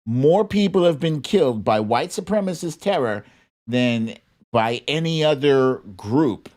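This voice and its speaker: Male American commentator, medium pitch, slow delivery, opinionated and informal, talking casually to an audience as host. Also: expressive, darkish tone. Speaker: host of a political commentary show.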